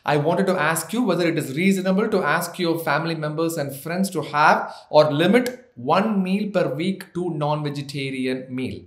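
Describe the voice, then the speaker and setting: An Indian man in his thirties gives health tips straight to camera, his voice sitting a little high for a man. He works through numbered points with dry little jokes along the way. The sound carries the echo of a bare office room. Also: moderate pace, few pauses. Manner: gently joking, lists points one by one. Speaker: doctor, health channel host. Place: office with framed certificates, indoors. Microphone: seated, medium shot to camera.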